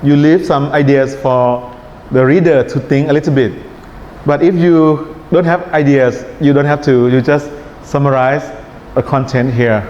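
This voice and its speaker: A man's voice: medium pitch, a little slow. Thai-accented male teacher in an echoey hall. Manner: relaxed, checks in with "right?" and "okay?". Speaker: IELTS writing teacher. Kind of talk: classroom lecture. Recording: echoey hall, dull sound, faint hiss.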